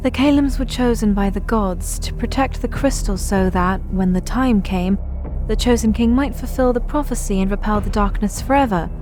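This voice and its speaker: A woman playing a grave, earnest young noblewoman oracle with a formal, measured manner in an audio drama. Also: medium pitch, over music, natural voice.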